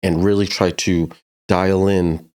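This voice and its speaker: A man's voice: sits low, flat delivery, takes it slowly, darkish tone. A man narrating a casual music production tutorial, explaining things step by step in an informal way.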